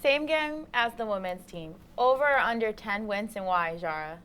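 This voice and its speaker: A woman's voice: mid-range voice, expressive, normal speed, fairly bright tone, a little hiss or hum. A woman hosting a sports talk show, putting prepared questions to her panel. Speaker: host. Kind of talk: posing scripted discussion questions.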